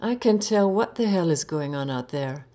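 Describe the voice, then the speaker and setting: A woman's voice: on the low side, expressive, normal speed, warm tone, lightly acted. A woman voicing a crabby, hungry husband who grumbles and complains, in a narrated short story. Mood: irritated, grumbling.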